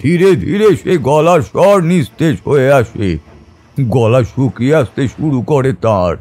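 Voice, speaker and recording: A man's voice: mid-range voice, animated, takes it slowly, a little mellow. A man giving a dramatic, suspenseful scripted narration of a horror story in a theatrical manner.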